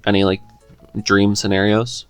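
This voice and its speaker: A man's voice: on the low side, little pitch movement, unhurried, smooth, no room sound, soft background music. A man hosting a casual interview, easygoing and encouraging as he talks. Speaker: podcast host, interviewer.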